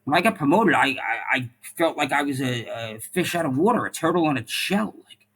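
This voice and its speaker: Husky-voiced man, earnest and animated. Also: on the high side, fairly quick. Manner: earnest, repeats words while thinking, says "like" and "right?" often. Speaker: podcast guest, former police officer and firefighter. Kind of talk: casual interview conversation.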